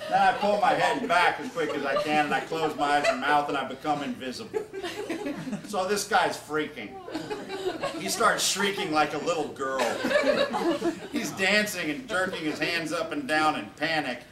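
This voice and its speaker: A man's voice: a little high, moderate pace, over a noisy crowd. A man reading comedic stories aloud as an author at a live event, with informal asides to his listeners. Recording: roomy, distant, noisy live event recording.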